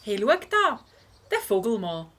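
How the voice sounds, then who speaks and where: A woman playing a spirited, curious schoolgirl detective in a children's audio play, lively and inquisitive, with a Swiss German accent. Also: mid-range voice, unhurried, slight background noise. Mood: surprised, keen.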